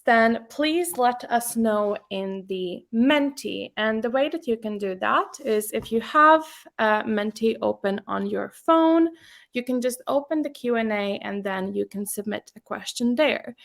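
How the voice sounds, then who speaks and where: Gravelly-voiced woman hosting a webinar. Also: on the high side. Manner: casual, friendly, many fillers. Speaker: webinar host, content marketing specialist. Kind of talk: presenting a webinar.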